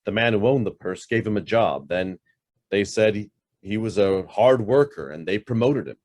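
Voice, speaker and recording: A man's voice: fairly low pitch, moderate pace, a little mellow, close to an everyday voice. A man playing a guarded, brusque middle-aged head of an investment firm with a guilty past in a radio drama. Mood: bitter, reflective. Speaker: actor.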